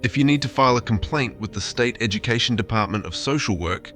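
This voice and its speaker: A man voicing a placating AI therapist chatbot, reading messages in a formal, measured, reassuring way. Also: medium pitch, expressive, fairly bright tone, soft background music, close to an everyday voice. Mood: placating, insistent. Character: AI therapist chatbot, placating, insistently claiming humanity.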